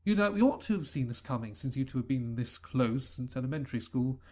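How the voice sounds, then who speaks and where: A man's voice: medium pitch, animated, brisk, darkish tone, lightly acted. A man voicing a kindly father stallion who encourages his son. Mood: understanding. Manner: earnest, encouraging.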